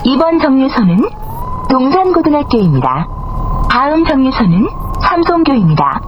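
A woman's voice: high-pitched, big pitch swings, moderate pace. Woman making dull, noisy bus announcements. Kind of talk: scripted public transit announcement.

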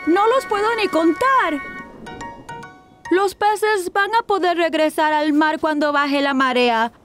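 A woman's voice: very high-pitched, slow pace, a bit bright, soft background music, exaggerated voice. A woman voicing a curious, easily worried four-year-old boy in a children's cartoon, with simple wide-eyed questioning. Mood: excited. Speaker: lead character.

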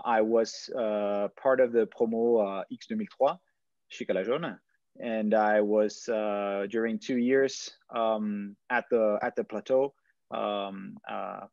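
A man in his thirties from Brazil presents over a video call, his voice sitting low in pitch. The sound is dry and muffled, like plain webcam audio. He hesitates often, filling gaps with ums and restarting phrases. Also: a little mellow, no echo. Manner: hesitant, many fillers and restarts. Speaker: startup founder. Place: indoors at home, stone wall behind.

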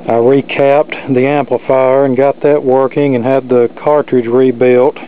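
A man's voice: medium pitch, little pitch movement, unhurried. A man giving a casual, matter-of-fact demonstration as a hobbyist, recorded on a camera microphone in an indoor workshop. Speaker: hobbyist demonstrating restored vintage electronics. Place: cluttered indoor electronics workshop. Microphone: handheld camera microphone. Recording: noisy, thin phone-like camera audio, loud hum.

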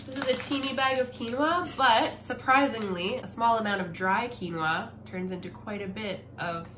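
A woman's voice: on the high side, moderate pace. A woman in her thirties chatting casually as host of a family vlog at home. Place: indoor room at home. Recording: echoey room with clear background noise.

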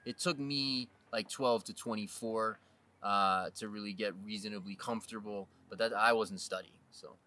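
A man in his thirties co-hosting a casual outdoor chat, telling stories in an animated, laughing way. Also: lowish voice, normal speed. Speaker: co-host, real estate agent. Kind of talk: casual storytelling. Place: outdoors on a hillside terrace.

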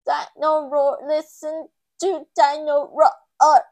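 A woman voicing a loud toy dinosaur in a children's storybook, chanting in slow, drawn-out roars. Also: high voice, little pitch movement, pitched above the performer's own voice. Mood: roaring. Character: loud roaring robotic toy dinosaur, singing proudly.

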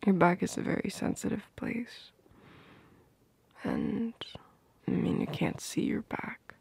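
A woman plays a protective, playful girlfriend in a romantic ASMR roleplay. Her voice is very breathy and whispery, with a creaky edge. She murmurs slowly and tenderly, right up close in a dry space. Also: medium pitch, a little mellow, no echo, heavily acted. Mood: tender, reassuring. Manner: slow, intimate, tender murmuring. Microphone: close microphone.

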